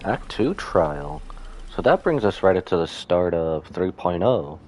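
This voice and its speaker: A man's voice: sits low, very animated, measured pace, a little mellow, a little hiss or hum. A man casually reacting on a live game stream, playful and joking.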